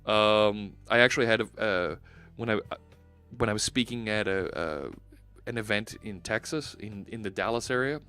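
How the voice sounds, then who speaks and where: A man's voice: lowish voice, normal speed, pushed and bright, clear, resonant tone, faint music behind. A man in his forties with a Philadelphia accent, hosting a casual coding live stream through a headset and thinking aloud with lots of fillers. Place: home studio, indoors.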